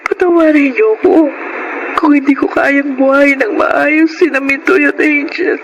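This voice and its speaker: A woman's voice: high-pitched, steady tone, measured pace, noisy background. A woman with a Filipino accent playing a poor, self-sacrificing mother in an emotive radio drama. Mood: pained, pleading. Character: poor, burn-scarred mother, tender, self-sacrificing and sorrowful.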